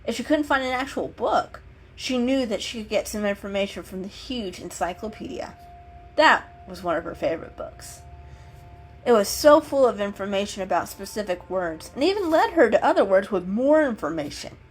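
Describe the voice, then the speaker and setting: A woman storyteller giving an expressive, unhurried dramatic reading of an animal story. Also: medium pitch, husky, low-quality recording.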